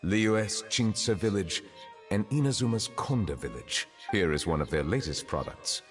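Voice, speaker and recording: An actor playing a reserved, earnest dragon chief justice in human form for a video game, speaking in a formal, measured, contemplative manner. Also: male voice, lowish voice, animated, soft background music, natural voice. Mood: earnest, composed.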